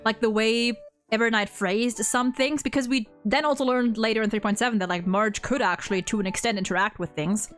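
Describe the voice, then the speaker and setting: Female host, higher voice, off-the-cuff and emphatic chat with rambling tangents, light music underneath. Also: steady tone, a little fast, fairly bright tone. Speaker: host of a video game story ranking discussion. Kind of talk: casual opinionated chat, unscripted.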